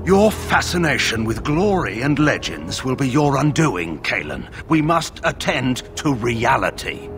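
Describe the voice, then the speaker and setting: An actor playing a proud, distrustful veteran general in a fantasy game, grave and scornful. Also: male voice, medium pitch, sing-song, measured pace, few pauses, over music. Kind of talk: dramatic fantasy game acting.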